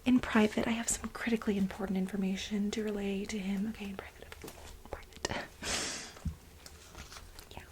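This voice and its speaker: A woman playing a frantic, aggrieved female assistant in a soft-spoken ASMR role play, breathy and mostly whispered, with pleading, stammering, melodramatic repetition. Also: medium pitch, level tone, normal speed, long pauses, noisy background, close to an everyday voice. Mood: urgent, insistent.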